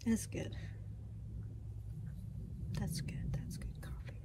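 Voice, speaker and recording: A middle-aged woman chatting casually to herself while camping, a little stuffy, with soft murmured asides. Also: takes it slowly, in a whisper. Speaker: camping vlogger. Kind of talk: casual solo vlog chat. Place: outdoors in pine woods.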